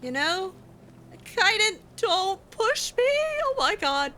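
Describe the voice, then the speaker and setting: A woman's voice: very high-pitched, animated, slow pace, sharp tone, slight background noise. A woman playing a game in character, talking in a sarcastic, exasperated, dramatic way. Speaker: let's play host roleplaying her character.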